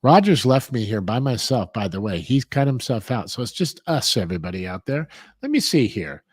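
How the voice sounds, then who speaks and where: A man's voice: medium pitch, big pitch swings, a little fast, slightly rough, dry recording, clean studio sound. A middle-aged man from Hollywood co-hosting a casual chat, rambling with lots of fillers. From Hollywood, California. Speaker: co-host.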